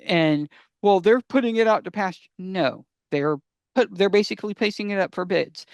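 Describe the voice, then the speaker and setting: A man's voice: high-pitched, moderate pace, dry recording, muffled recording. An American man co-hosting a podcast, explaining things emphatically and repeating phrases for stress. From United States. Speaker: podcast co-host.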